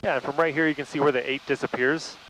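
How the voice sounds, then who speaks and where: A man's voice: on the high side, fast talker, rough recording. A man giving casual flight instruction in an easygoing, explanatory way over a cockpit headset. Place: inside a small propeller plane in flight. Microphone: aviation headset intercom. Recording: steady engine noise behind cockpit audio.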